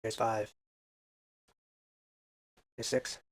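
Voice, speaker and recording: A man's voice: on the low side, level tone, very slow. A man casually commentating a baseball video game, counting hits aloud and adding self-deprecating asides. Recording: faint background music.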